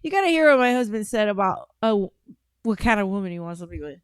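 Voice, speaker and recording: A woman's voice: mid-range voice, big pitch swings, fast, clear, resonant tone, no echo, clean studio sound. A woman hosting a call-in podcast, talking bluntly and swearing freely, with frequent laughter. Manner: blunt, profane, laughs often. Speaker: podcast host taking calls. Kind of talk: casual call-in chat.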